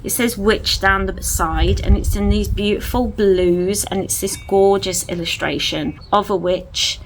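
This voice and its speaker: A woman's voice: mid-range voice, fairly even intonation, a little slow, slight background noise, rough recording. A woman chatting at home in a casual vlog, gushing over things she bought. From England. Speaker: vlogger. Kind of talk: casual haul chat to camera. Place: at home indoors.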